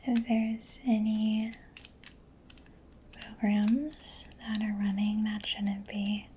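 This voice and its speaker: A soft-spoken woman in a breathy whisper, gently and reassuringly playing a caring mechanic in an ASMR roleplay. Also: medium pitch, flat delivery, drawn-out words, a little hiss or hum. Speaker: ASMR performer.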